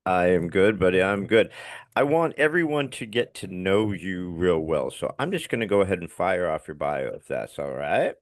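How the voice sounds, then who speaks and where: Gravelly older man hosting a podcast. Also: big pitch swings, moderate pace, no room sound, clean studio sound. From Long Island. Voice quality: gravelly baritone with a slight lisp. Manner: enthusiastic, casual, calls guest buddy and dude. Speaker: podcast host. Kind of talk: casual podcast interview, reading a guest bio.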